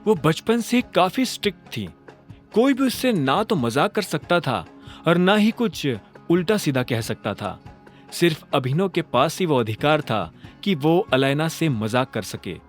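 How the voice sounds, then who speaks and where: A man narrating an audio drama story in an expressive, scripted storytelling manner. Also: a little high, a little slow, soft background music.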